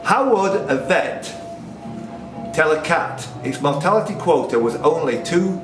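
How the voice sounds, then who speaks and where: Male quizmaster, higher-pitched and lively, casual banter, roomy off-mic sound with music behind. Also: measured pace, distant mic. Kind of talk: reading quiz questions with casual banter.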